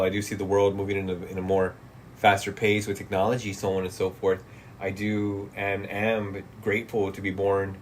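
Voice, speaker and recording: A relaxed man in his late thirties talks to his stream chat in a low voice, swearing casually and trailing off into quiet mumbles. A faint hum of room ambience sits behind him. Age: 30s. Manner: laid-back, profane, laughs often, trails off into mumbles. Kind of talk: casual livestream chat, reading chat comments.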